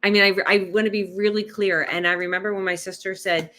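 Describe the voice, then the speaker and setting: A woman's voice: mid-range voice, fast talker. A woman health coach, a guest on a podcast, talking casually and explaining nutrition with numbers, often checking in with "right?". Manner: animated, explains with numbers and rhetorical questions. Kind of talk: casual interview chat.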